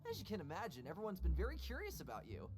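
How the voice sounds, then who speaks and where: A woman voicing a quietly confident young female assassin in a video game, with a measured, dry manner. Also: fairly low pitch, huge pitch swings. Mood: warm. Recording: game audio with music behind. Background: game music.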